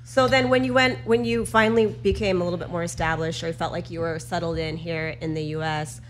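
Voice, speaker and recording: Lady host doing an interview, really deep voice for a woman, bit of hum behind. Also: steady tone, a little fast. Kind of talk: conversational interviewing.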